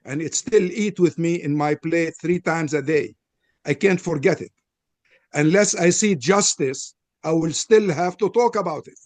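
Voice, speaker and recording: Older lebanese guy, arabic first language, earnest panel talk, fairly high voice, dry sound. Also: dry recording. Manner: earnest, frank, personal storytelling. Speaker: panel presenter. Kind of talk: interfaith panel statement.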